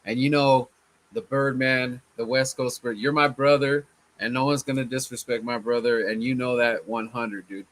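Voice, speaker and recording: A man hosting a casual sports fan chat, his voice a little hoarse from chanting, laid-back and often saying "man". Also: mid-range voice, steady tone, moderate pace, a bit bright, low-quality recording. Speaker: fan channel host.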